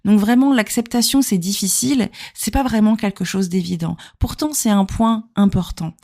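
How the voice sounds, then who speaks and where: Mellow-voiced woman podcasting. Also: medium pitch, moderate pace. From Normandy, France. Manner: conversational, explanatory, punctuated with rhetorical questions. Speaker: podcast host and therapist. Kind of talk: informal solo podcast talk, addressing the listener directly.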